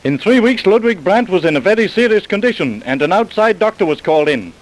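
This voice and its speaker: A woman's voice: mid-range voice, very animated, fast talker, heavy background noise, lightly acted. A woman narrating a scripted old-time radio crime drama in a formal story-linking style. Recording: vintage radio recording.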